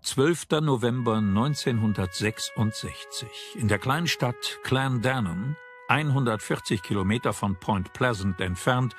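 A male documentary narrator with a medium-pitched voice. He reads scripted narration in a measured, dramatic storytelling manner, with music behind him.

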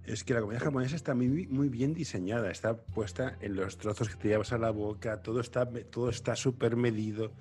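A man's voice: mid-range voice, fairly quick, hardly any pauses, a little mellow, faint music behind. A man from Spain with a Castilian Spanish accent, hosting a casual interview chat and often repeating words for emphasis. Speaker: podcast host.

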